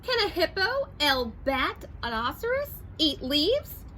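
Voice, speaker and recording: A woman's voice: high-pitched, sing-song, takes it slowly, pushed and bright, a little hiss or hum, pitched above the performer's own voice, full character voice. A woman doing an eager little hippo boy.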